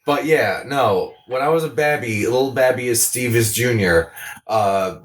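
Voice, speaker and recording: A man's voice: medium pitch, unhurried. Slightly distant man in casual podcast banter. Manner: crude, sweary, silly. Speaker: podcast co-host. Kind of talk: casual, joking podcast chat.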